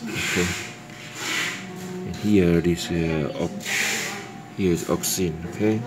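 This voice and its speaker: Deep-voiced man, halting hands-on demonstrator. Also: fairly even intonation, rough recording. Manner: halting, repeats words and phrases; non-native, broken English phrasing. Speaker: presenter demonstrating electronics. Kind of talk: hands-on product demonstration, unscripted. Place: indoors at a desk with audio gear. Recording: music playing behind the voice. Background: music from the demonstrated audio player.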